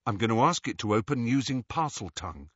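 A man's voice: mid-range voice, big pitch swings, fairly quick, fairly bright tone, slightly rough, no echo, lo-fi sound. A man voicing an earnest, determined teenage boy hero for a storybook, urgent and insistent in his delivery. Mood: resolute.

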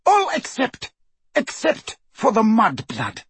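A ruthless dark witch from a storybook, performed by a man. The voice is pitched high and very husky, leaping about as it snaps out imperious, frantic orders with hissing menace. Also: sing-song, unhurried, dry recording, exaggerated voice. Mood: commanding, contemptuous. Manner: shrill, imperious, screaming and hissing.

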